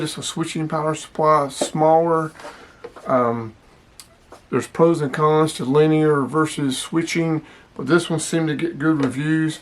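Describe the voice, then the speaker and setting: A man speaks in a voice pitched a little higher than average. He sounds like a hobbyist vlogger walking through a product demonstration. His delivery is casual, plainspoken and explanatory.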